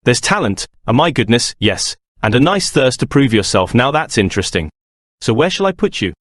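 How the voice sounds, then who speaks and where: A man voicing an old magical talking hat that murmurs and muses in a low, hushed voice. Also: sing-song, moderate pace, no room sound. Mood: musing.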